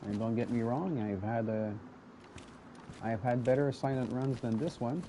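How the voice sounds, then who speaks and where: A man's voice: mid-range voice, steady tone, moderate pace, dark tone, slight background noise. A man with a French Canadian accent, a native French speaker, giving rambling casual gameplay commentary and addressing his viewers directly. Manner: chatty and rambling, often restarting words, talks straight to viewers. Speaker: gaming video host.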